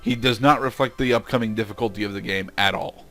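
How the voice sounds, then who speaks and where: A man's voice: on the low side, fast talker, a bit bright, soft background music. A man giving casual commentary on a video game he is playing. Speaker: let's play commentator.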